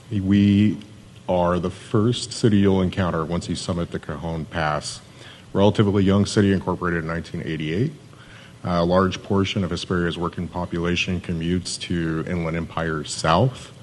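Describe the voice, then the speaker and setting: Low-voiced monotone Californian man presenting. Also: normal speed. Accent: Californian American. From Southern California, US. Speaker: city economic development manager. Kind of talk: live informational presentation.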